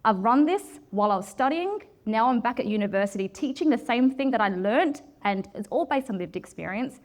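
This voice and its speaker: A woman in her forties addresses a room in a loud, direct manner. Her voice sits a little high, and she presses her points with passion. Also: animated, a little fast. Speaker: project manager giving a talk. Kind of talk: presentation talk with Q and A.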